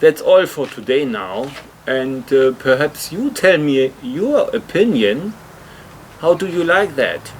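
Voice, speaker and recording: A man hosts an explanatory hobby video at a slow pace. His phrasing is hesitant and slightly awkward. A faint layer of noise sits behind his voice. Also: fairly high pitch, big pitch swings. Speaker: video host, hobby motorcycle customizer. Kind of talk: explanatory vlog narration.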